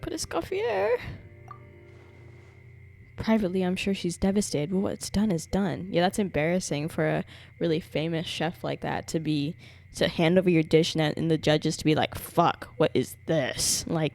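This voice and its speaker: Animated woman livestreamer reacting dramatically. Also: sits low, moderate pace, creaky. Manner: dramatic, exclamatory, sweary. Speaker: game livestreamer. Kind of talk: reactive casual livestream commentary. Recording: game music behind voice. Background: game music.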